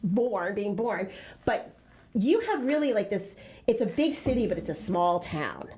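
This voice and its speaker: A middle-aged woman from New York co-hosts a casual chat. She talks loudly and with animation, laughing through her stories. Her pitch sits in an ordinary middle range. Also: measured pace. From Westchester, New York. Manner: loud, animated, laughing storyteller. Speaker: co-host, real estate office owner.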